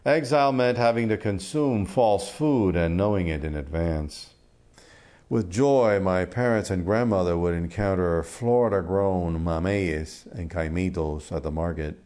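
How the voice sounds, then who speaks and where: An older Cuban-born man who grew up in Miami, a poet, speaking in a thoughtful, deliberate way. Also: low voice, a little mellow. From Cuba, came to the US as a child and grew up in Miami. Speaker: poet and art critic. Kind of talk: reflective interview talk and poetry reading.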